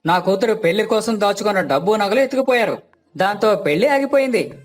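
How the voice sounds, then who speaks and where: A man's voice: high-pitched, animated, quick pace, soft background music. A man voicing a plaintive, wary, superstitious villager in a moral story. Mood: indignant, urgent.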